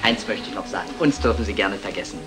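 A man playing a smooth-talking crook with a wordy, florid manner in a retro German radio crime play. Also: on the low side, lively intonation, fast talker, lightly acted. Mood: unctuous, effusive. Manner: effusive, florid, wordy politeness. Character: smooth-talking fast-bluffing male crook posing as soap salesman. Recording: retro radio play, faint music behind.

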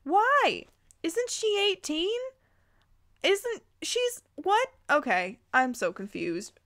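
A woman reading a story aloud informally, breaking in with expressive, amused reactions and exclamations. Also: extremely high pitch, takes it slowly, no echo, studio quality. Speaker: host reading fan fiction aloud with commentary.

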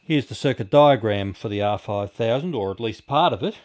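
A middle-aged man presenting a hobby video, explaining casually in a halting, unhurried way. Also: fairly low pitch, lively intonation, a little mellow, faint music behind. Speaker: amateur radio hobbyist presenter. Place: small indoor radio room.

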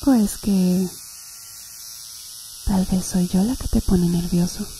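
A woman's voice: lowish voice, takes it slowly, dark tone, slight background noise, low-quality recording. A woman playing a flirtatious, teasing female tattoo artist in an ASMR roleplay, murmuring breathily and seductively to the listener. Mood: seductive, intimate. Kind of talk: Spanish-language ASMR roleplay.

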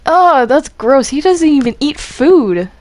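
A woman's voice: high voice, expressive, slow pace, darkish tone, a little hiss or hum. A woman chatting casually while gaming, giggly and rambling as she reacts aloud. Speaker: player in a Minecraft roleplay group.

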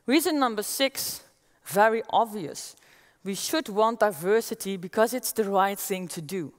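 A woman in her forties with a Dutch accent speaks to a large audience from an auditorium stage, lively and moving about as she presents. Her voice is of ordinary middle pitch, picked up on a headset mic, and a long reverb trails behind it from the big hall. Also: a little slow. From Netherlands. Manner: energetic, gestures as she walks the stage. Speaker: keynote speaker. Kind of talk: conference keynote talk.

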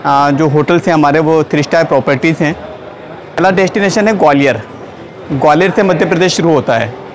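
A middle-aged man from Gwalior, a tourism escort, steadily listing destinations and tour packages. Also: fairly high pitch. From Gwalior, India. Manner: steady, informative, lists places and packages. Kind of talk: casual interview answer. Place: indoor exhibition hall stall. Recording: busy expo crowd behind.

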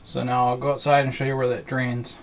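A man's voice: mid-range voice, level tone, a little fast. A man giving a casual, hands-on how-to narration as a DIY video host. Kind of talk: casual how-to narration of a trailer plumbing setup. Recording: clear background noise.

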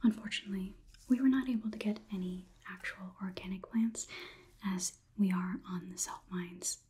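A young woman performing an ASMR roleplay as a calm robot overlord, whispering in a measured, inquisitive, slightly condescending manner. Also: medium pitch, lightly acted. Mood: calm, explanatory. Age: young adult. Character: calm, clinical robot overlord examining a human. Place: small indoor room.

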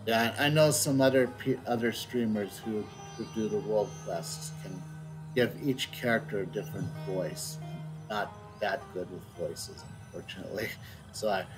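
A man's voice: on the low side, poor audio. Rambling male game streamer over music. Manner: rambling, halting. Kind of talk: casual livestream chat and read-out.

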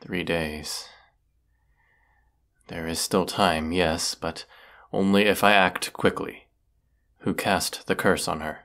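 Man voicing a low, gravelly, haughty wizard. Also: fairly even intonation, a bit bright, exaggerated voice. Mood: grave, determined. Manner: haughty, sardonic, deliberate. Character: proud, brooding wizard of the woods, male, sardonic. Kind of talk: scripted audio roleplay acting.

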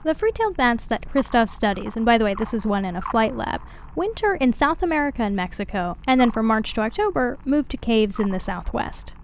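A woman's voice: a little high, big pitch swings, fairly quick, a little hiss or hum, poor audio. A woman reporter giving wry, conversational voice-over narration for a science video. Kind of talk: scripted narration.

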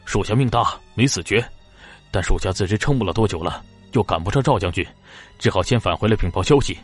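A male actor voices a loyal, dying messenger in a historical audiobook. His voice is low, dry and hoarse as he reports dutifully, with music underneath. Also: lively intonation, fairly quick. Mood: weak, urgent. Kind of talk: audiobook character acting in a period drama.

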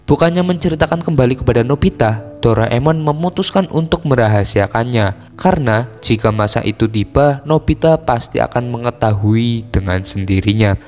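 A man's voice: medium pitch, normal speed, darkish tone. A man narrates a film recap from a script, in an informal storytelling manner with casual slang asides. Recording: voice-over with long reverb over music. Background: music.

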